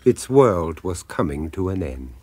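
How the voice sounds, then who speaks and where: An actor as an urbane narrator, telling a sinister radio horror story in a measured, wry, ominous way. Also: male voice, sits low, very animated, mellow, a little hiss or hum.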